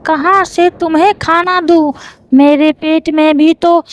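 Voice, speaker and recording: A woman voicing a sharp-tongued, greedy crow wife in a cartoon, grumbling and sarcastic. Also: very high-pitched, steady tone, takes it slowly, a little hiss or hum. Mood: irritated. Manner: sarcastic, nagging.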